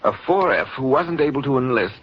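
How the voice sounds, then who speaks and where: An actor playing a suave, coolly menacing doctor with measured, polite speech in 1940s radio style. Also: male voice, medium pitch, lively intonation, slight background noise, natural voice. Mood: smooth, apologetic. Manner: measured, polite, sinister, mock-hesitant. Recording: dull, lo-fi 1940s radio recording.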